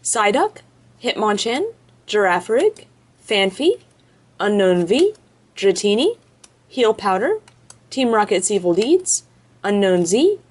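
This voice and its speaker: A chatty woman of medium pitch talks casually while she opens card packs. She rattles off names one after another in an easygoing way, recorded close by at an indoor table. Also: very animated, a little mellow, husky. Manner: chatty, lists card names quickly in a row. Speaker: card-opening video host. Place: indoors at a table, off camera behind hands.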